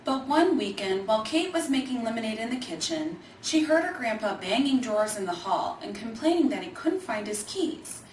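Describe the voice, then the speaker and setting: Female storybook reader, higher-pitched and measured, expressive phrasing; roomy, echo-heavy recording. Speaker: Alzheimer's organization staffer reading a children's book. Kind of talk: scripted storybook reading. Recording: echoey room, distant mic, faint noise.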